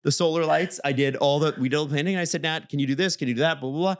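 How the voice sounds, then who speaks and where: A man with a booming voice co-hosting a casual podcast, animated and jokey, often jumping high in pitch when he teases. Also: racing, smooth, no echo, studio quality. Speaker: podcast co-host. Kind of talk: casual chat.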